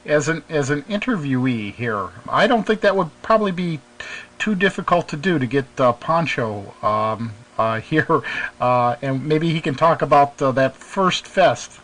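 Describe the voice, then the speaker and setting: A man's voice: on the high side, expressive, unhurried, fairly bright tone, rough recording. A man hosting a live internet radio show in a casual, hesitant, informal way.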